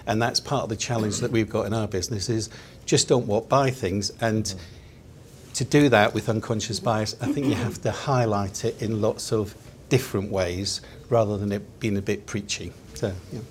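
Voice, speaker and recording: A man speaking on a panel discussion in a measured, thoughtful way. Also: on the low side, fairly even intonation, slight background noise. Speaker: round table panelist.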